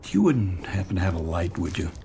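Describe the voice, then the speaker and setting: A low-voiced, weary American commuter. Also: male voice, sing-song, brisk, vocal fry, dark tone, slight background noise, heavily acted. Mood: uneasy, pleading. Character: weary, distracted married male commuter, wistful and evasive. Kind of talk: radio drama acting.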